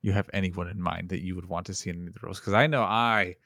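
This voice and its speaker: A man with a fairly low voice talks enthusiastically on a podcast. He rambles and often restarts his sentences. His voice is recorded close and dry. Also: steady tone, racing, bright, forceful tone, dry recording. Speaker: podcast co-host. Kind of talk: casual chat.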